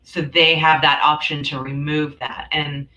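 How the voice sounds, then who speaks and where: A woman hosts a casual webinar with a bright, forward voice. She talks in a chatty, informal manner. The sound is roomy, with clear echo around her words. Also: low-pitched, little pitch movement, a little slow. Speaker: host.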